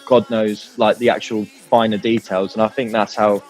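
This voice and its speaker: A man's voice: fairly low pitch, level tone, normal speed, darkish tone, soft background music. A man chatting informally and candidly in an interview as a band musician, in a rambling way. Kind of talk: casual interview chat.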